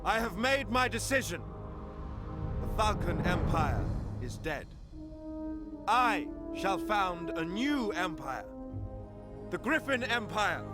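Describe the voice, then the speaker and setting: A man's voice: high-pitched, very animated, takes it slowly, long pauses, loud music behind the voice, full character voice. A man playing an earnest, resolute ruler in a fantasy game, making formal declarations. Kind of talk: scripted fantasy game dialogue.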